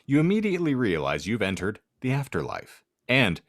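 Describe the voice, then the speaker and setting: A man's voice: lowish voice, huge pitch swings, moderate pace, no room sound, clean studio sound. A man hosting a casual comedy podcast, talking in a wry, wordy way with florid vocabulary. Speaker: podcast host.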